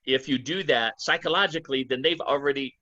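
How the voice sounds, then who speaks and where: A man with a sharp, bright voice chimes in during a real estate class, halting and repeating himself as he talks. The sound is dry and dull, like a lo-fi call. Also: mid-range voice, no echo. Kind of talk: class discussion comment.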